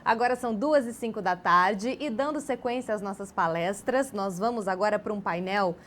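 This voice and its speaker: A woman's voice: medium pitch, normal speed, fairly bright tone. Woman announcing the next panel, formal. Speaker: event announcer.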